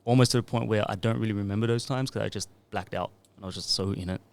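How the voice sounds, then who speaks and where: A man's voice: on the low side, fairly even intonation, racing, vocal fry, clear, resonant tone. A man in his thirties, a music producer and DJ, talking in a laid-back, rambling way into a podcast mic. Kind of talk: casual podcast interview.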